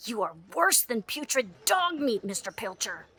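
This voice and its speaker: A woman playing a bitter, scornful frontier wife with a husky voice in an audio drama. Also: on the high side, sing-song, normal speed, sharp tone, exaggerated voice. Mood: disgusted, furious.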